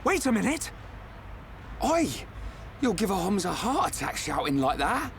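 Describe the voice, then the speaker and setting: An actor playing a brash, excitable young warrior with a blunt, loud manner in a fantasy game drama. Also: male voice, high voice, unhurried, vocal fry, a little hiss or hum, exaggerated voice. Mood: startled. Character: brash, excitable, big-hearted young male warrior sidekick.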